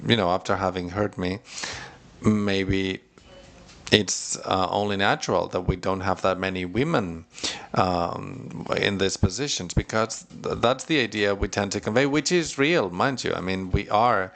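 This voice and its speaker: A man with a low voice rendering a panel discussion into English on the fly. His delivery is hesitant and full of pauses. There is a gravelly fry to his voice. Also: fairly bright tone. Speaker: conference interpreter. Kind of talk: live interpretation of a panel discussion.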